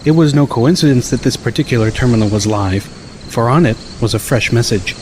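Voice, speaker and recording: A man narrating a first-person story as an audiobook, reading in a measured, dramatic way. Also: medium pitch, a little mellow, slight background noise. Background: music.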